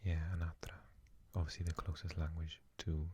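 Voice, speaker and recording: A man whispering softly in an ASMR reading, musing aloud and sounding out foreign words. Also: extremely low pitch, flat delivery, a little fast, background noise. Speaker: ASMR creator.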